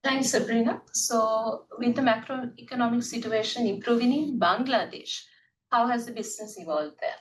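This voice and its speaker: Female moderator, formal and polite, higher voice, set back from the mic. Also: normal speed, slight background noise. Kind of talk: scripted corporate hosting. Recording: recorded from a distance.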